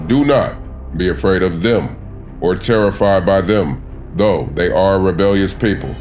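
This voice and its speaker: A man's voice: sits low, moderate pace, darkish tone, faint music behind, natural voice. A man voicing God in a dramatized scripture reading, stern, solemn and commanding, with emphatic, declamatory delivery. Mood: stern, urging.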